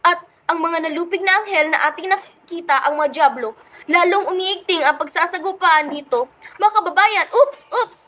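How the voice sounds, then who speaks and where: A woman playing an excitable field reporter in a radio play, dramatically addressing listeners as if reporting live. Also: extremely high pitch, normal speed. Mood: alarmed.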